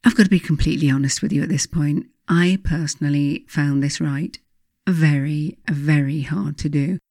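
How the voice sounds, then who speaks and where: A woman's voice: deep voice, moderate pace, no room sound. English woman narrating, close and dry. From England. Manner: confiding, expressive storytelling with emphatic asides. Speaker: author reading her own book. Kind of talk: scripted first-person audiobook narration.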